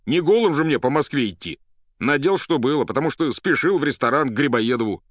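A man's voice: mid-range voice, animated, a little fast, dry recording, studio quality, pitched above the performer's own voice, natural voice. A man voicing an agitated, half-crazed young poet in an audiobook, indignant and vehement, with colloquial speech.

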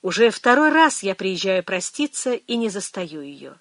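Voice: mid-range voice, big pitch swings, moderate pace, fairly bright tone, no echo, studio quality. A reader voicing a weary, guarded middle-aged priest in an audiobook, speaking in a restrained, reflective way. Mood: wistful.

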